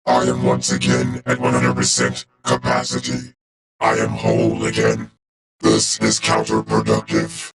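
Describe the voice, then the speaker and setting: A man voicing a cold, loyal robot warrior for a video game, speaking in a clipped, formal, deadpan way through a robotic filter. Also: lowish voice, measured pace, gravelly, exaggerated voice. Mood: clinical, detached. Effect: robotic vocoder filter.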